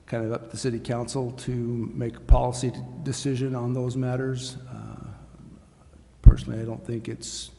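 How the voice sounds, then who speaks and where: A man speaking as a city official at a public meeting, explaining in hesitant, deliberate phrases. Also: medium pitch, little pitch movement, creaky. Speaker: city administrator. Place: council chambers. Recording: reverberant hall with faint noise.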